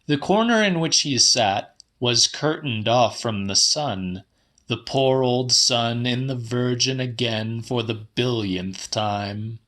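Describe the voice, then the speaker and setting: A man reading literary fiction as an audiobook narrator, slightly dramatic, with deliberate, measured phrasing. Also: mid-range voice, bright, forceful tone. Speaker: audiobook reader.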